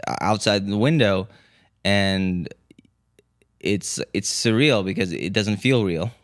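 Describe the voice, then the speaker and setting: A man's voice: fairly low pitch, slow pace, no room sound, studio quality. A man recounting events casually as a podcast co-host, hesitant and often repeating his words. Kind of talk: casual conversational storytelling.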